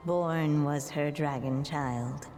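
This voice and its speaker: A woman voicing a composed, wry storybook narrator in a video game, measured and gently teasing, in long reverb over music. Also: sits low, fairly even intonation. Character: composed, wry female storybook narrator telling a fairy tale.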